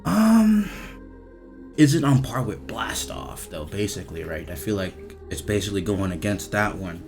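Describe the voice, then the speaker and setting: A man giving casual, off-the-cuff commentary as he reviews game voice lines, talking in a halting, repetitive, slangy way with some swearing. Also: on the low side, sing-song, vocal fry, darkish tone, soft background music.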